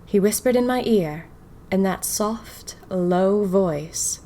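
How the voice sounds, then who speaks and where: A woman's voice: mid-range voice, slow, mellow, natural voice. Wistful young 1920s woman diarist, acted by a woman. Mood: dreamy, wistful. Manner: wistful, confiding, wryly dramatic. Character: dreamy young 1920s woman, romantic, nervous, self-dramatizing diarist. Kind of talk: first-person diary audio fiction.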